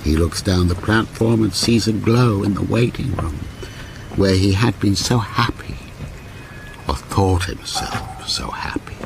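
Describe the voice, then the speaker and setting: An actor playing a lonely, obsessive older man in a radio ghost story, with a brooding, deliberate delivery that repeats broken phrases. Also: fairly low pitch, vocal fry, background noise. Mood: haunted, obsessive. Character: lonely, obsessive, sinister old railwayman ghost narrating his story. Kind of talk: radio drama monologue.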